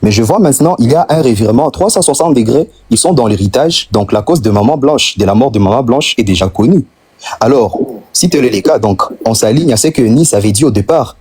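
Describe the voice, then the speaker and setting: A man's voice: mid-range voice, lively intonation, brisk, darkish tone, husky. A Congolese man with a Congolese French accent, making an impassioned, insistent argument on a live stream, piling up rhetorical questions and repeating phrases for emphasis. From Democratic Republic of the Congo.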